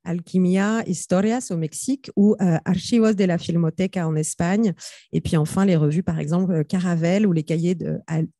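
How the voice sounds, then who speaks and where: A woman's voice: lowish voice, moderate pace, dark tone, no echo, clean studio sound. A woman chairing an academic panel, introducing a speaker with many hesitations and fillers. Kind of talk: introducing a speaker at a research conference.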